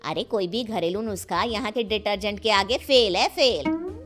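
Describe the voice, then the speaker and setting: A woman's voice: mid-range voice, lively intonation, normal speed, a bit bright, soft background music, natural voice. A woman playing a bossy, sarcastic middle-aged city housewife in a cartoon family story, with a nagging, dismissive way of talking. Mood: taunting, dismissive. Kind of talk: animated moral-story dialogue.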